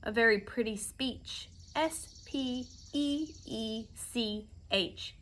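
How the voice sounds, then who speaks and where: A woman voicing a testy, excitable male bee in a storybook, prim, scornful and very emphatic. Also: on the high side, moderate pace, slight background noise. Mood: sneering. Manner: emphatic, spells words out letter by letter.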